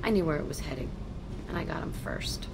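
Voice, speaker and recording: A defiant young woman with a prison past for a game. Her voice is lowish, curt and sardonic, with loud ambient noise behind it. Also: brisk, vocal fry. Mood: bitter. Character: tough, guarded young female ex-convict, cynical, defiant. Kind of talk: video game character acting.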